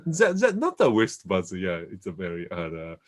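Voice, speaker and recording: A Japanese man with a lowish voice hosts a relaxed chat in English. He hesitates often, hunting for the right word before going on. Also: normal speed. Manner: hesitant, searching for English words. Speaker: host. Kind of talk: casual chat in a second language.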